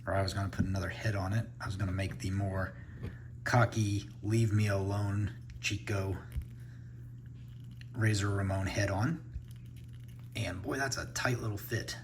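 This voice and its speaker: A man with a fairly low voice talks through a toy review in a relaxed, off-the-cuff way, thinking aloud as he goes. A faint hiss sits under the recording. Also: moderate pace, pauses often. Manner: relaxed, thinking aloud while handling toys. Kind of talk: casual unboxing review.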